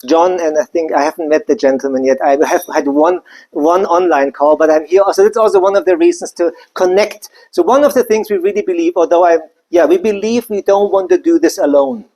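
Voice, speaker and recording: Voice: medium pitch, fairly quick, darkish tone, poor audio. A speaker from Germany, giving a live business presentation in a loose, conversational way with many side remarks. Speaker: company presenter.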